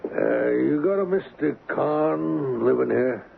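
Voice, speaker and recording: A man's voice: mid-range voice, expressive, slow, gravelly, exaggerated voice. An actor playing a brusque, streetwise tough man posing as an agent, in casual 1970s radio drama style. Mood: pushy, sly. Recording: narrow-band old radio, faint noise.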